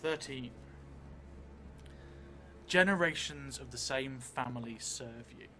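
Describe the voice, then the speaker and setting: A middle-aged man improvising narration for a solo tabletop game, thinking aloud thoughtfully in halting, short phrases. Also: medium pitch, sharp tone. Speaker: solo role-playing streamer. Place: indoor room set up for streaming, seated at a table. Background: music.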